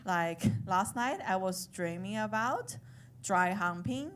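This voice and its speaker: A woman in her thirties from China with a Chinese accent, whose first language is Chinese, doing a stand-up comedy set with deadpan, self-mocking delivery. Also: mid-range voice, takes it slowly, background noise. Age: 30s. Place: comedy club stage.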